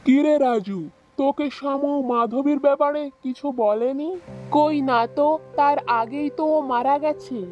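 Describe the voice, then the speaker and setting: Woman voicing an agitated young man, high-pitched. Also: measured pace, a little mellow, highs cut off, faint music behind. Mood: alarmed. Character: anxious, agitated young village man in a ghost tale. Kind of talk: children's cartoon voice acting.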